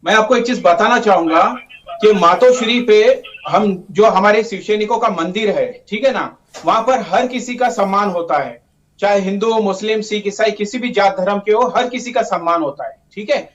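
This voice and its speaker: A roomy, muffled recording of a man some way from the microphone. He speaks in a high-pitched voice, arguing insistently as a political spokesman in a debate and hammering his points by repetition. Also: normal speed, a bit bright. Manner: insistent, repetitive, uses tag phrases. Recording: roomy, dull, distant microphone.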